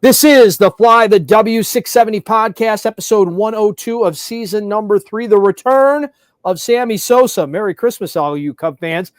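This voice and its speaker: A high-pitched man hosts a sports podcast. He speaks conversationally and with animation, often repeating phrases for emphasis, in a very dry-sounding recording. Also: moderate pace, dry recording.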